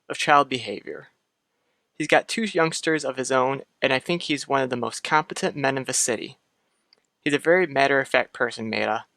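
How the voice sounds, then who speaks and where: Actor as bright-voiced, courteous radio soap doctor. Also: male voice, mid-range voice, no echo, lightly acted. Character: reassuring, courteous doctor, trusted family friend. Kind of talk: mid-century radio soap acting.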